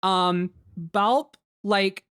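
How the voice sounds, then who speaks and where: A woman giving casual group commentary as host, talking in a halting, rambling way and swearing freely. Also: medium pitch, a bit bright, clear, resonant tone, no echo, clean studio sound. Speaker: host and director of a fan dub, giving cast commentary. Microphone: close mic on an online voice call.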